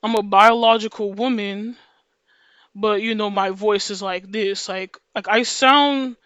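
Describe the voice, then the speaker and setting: A woman with a deep voice for a woman, talking casually to her viewers in a rambling, slangy monologue that loops back on itself. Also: fairly even intonation, unhurried, fairly bright tone, clean tone, no room sound. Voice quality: voice deeper than usual for a woman. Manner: rambling, slangy, repeats and restarts phrases. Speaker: video creator.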